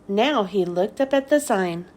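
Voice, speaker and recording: A woman reading a children's story aloud as scripted narration, with expressive, deliberate delivery. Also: mid-range voice. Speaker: children's book read-aloud host.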